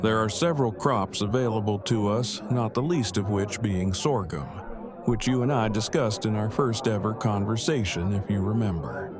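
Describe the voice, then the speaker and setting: Guy acting as awkward bookish survivor man, low voice, super formal n wordy, deadpan, audio drama, music under it. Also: moderate pace, lightly acted. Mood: earnest.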